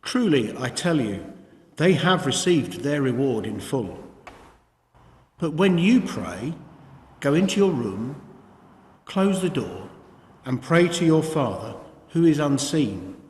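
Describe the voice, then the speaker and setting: A man reading scripture aloud in a measured, formal reading style. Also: fairly high pitch, sing-song, darkish tone. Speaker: scripture reader. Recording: echoey room with long reverb.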